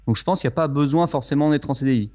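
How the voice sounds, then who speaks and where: A man's voice: fairly high pitch, a little mellow. Plain-spoken man on a dull line. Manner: plain-spoken, halting, frank. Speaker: guest investor. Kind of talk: casual interview answers. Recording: dull lo-fi sound.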